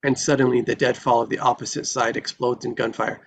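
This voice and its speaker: A man's voice: medium pitch, flat delivery, a little fast, gravelly. A man reading screenplay stage directions in a dramatic narrating style at a table read.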